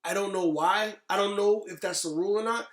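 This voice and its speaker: Man in his thirties, slightly high pitch, strong room echo, casual podcast chat, emphatic, repetitive phrasing, opinionated. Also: a little fast, a bit bright. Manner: emphatic, repeats phrases for stress. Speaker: podcast co-host. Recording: roomy, echoey sound.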